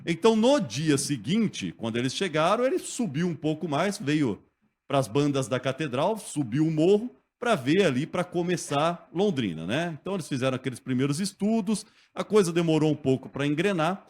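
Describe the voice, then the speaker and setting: A man telling local history as a guest in a radio studio, lively and conversational, often checking in with the listener. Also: a little high, moderate pace. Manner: lively storyteller, acts out quotes, often asks "né?".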